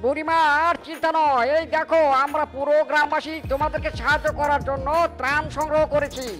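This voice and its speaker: A kind young villager from an animal cartoon , voiced by a woman, high-pitched and eager. The delivery is caring, earnest and declamatory, with music clearly playing underneath. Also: flat delivery, fast, fairly bright tone. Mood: reassuring. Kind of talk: cartoon voice acting. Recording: music behind the voice.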